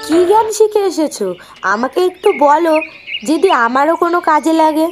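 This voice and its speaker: A woman's voice: extremely high pitch, expressive, moderate pace, music in the background. A woman voicing a suspicious, fretful young village wife in a cartoon story. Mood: curious. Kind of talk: cartoon voice acting.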